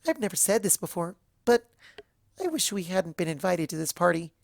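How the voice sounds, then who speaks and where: A narrator voicing a childlike, scatterbrained female cartoon pony who rambles excitably in a story reading. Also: medium pitch, fairly quick, gravelly, no echo, studio quality, voice pushed up. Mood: rambling, rueful.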